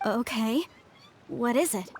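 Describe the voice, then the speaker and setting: A woman's voice: a little high, animated, slow pace, a little mellow, a little hiss or hum. A woman playing an earnest, principled, stubborn young archaeologist in a video game, speaking in a proper manner. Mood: indignant. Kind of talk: video game character acting.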